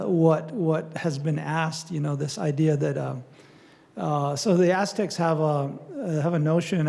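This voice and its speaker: An older man giving a public talk and answering audience questions, speaking haltingly with many fillers and restarts. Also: fairly high pitch, gravelly, warm tone, slightly rough. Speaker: lecturer on Native studies.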